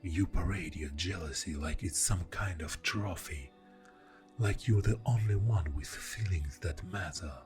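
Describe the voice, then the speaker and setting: A man playing an exasperated, defensive boyfriend in an ASMR audio roleplay, speaking in a deep, soft voice with heavy sighs. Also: soft background music. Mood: hurt, reproachful. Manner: slow, pausing, with heavy sighs. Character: exasperated, defensive boyfriend arguing with a jealous partner.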